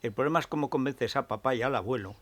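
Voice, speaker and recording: A man's voice: mid-range voice, fast talker. A man with a Spanish accent hosting a radio interview, asking chatty, wandering questions. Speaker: radio host.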